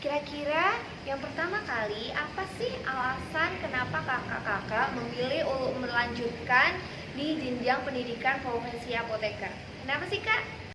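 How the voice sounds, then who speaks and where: A woman's voice: high-pitched, expressive, moderate pace, echoey room, noisy background. A woman hosting a student podcast, asking questions in a chatty, upbeat way. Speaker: podcast host. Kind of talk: casual interview questions.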